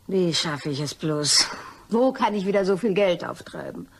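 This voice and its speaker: A woman's voice: on the low side, animated, normal speed, slightly rough. An actress playing a proud, indignant woman being blackmailed in a crime radio play, speaking expressively. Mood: anguished, indignant.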